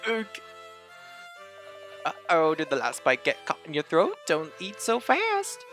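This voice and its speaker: A sweet young girl from a puzzle game, gently teasing and fussing over a friend, as a man reads her part. Soft game music plays behind her. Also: on the high side, huge pitch swings, a little slow, full character voice. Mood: doting.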